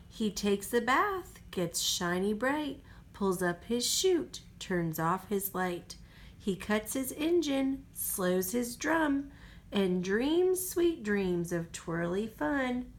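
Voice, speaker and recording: A woman reads a bedtime storybook aloud at a slow, unhurried pace. Her pitch swings freely and expressively, as a mother reading to her young child does. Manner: expressive, drops to whispers for goodnights.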